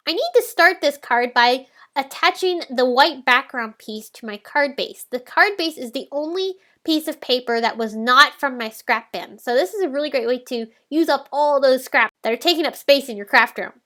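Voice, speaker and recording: A woman narrates a crafting tutorial in a calm, explanatory way, her voice stuffed up and nasal from a head cold. She speaks high and bright, with a clear ring to her tone. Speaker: card-making tutorial host. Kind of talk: step-by-step crafting voice-over.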